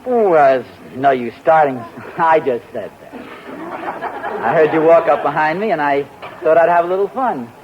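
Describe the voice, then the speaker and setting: An actor playing a good-natured, teasing husband in a 1940s radio sitcom, speaking in a playful, mock-innocent way. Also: male voice, a little high, moderate pace, close to an everyday voice. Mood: sheepish, joking. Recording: dull lo-fi 1940s radio broadcast with hiss.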